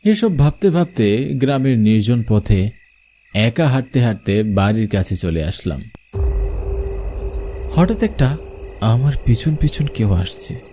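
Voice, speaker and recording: A man's voice: mid-range voice, big pitch swings, a little slow, long pauses, dark tone, slight background noise. A man playing a frightened village showman, narrating his own horror story for a cartoon. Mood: worried, fearful. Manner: dramatic storytelling. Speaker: narrator and main character. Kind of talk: scripted first-person horror cartoon narration.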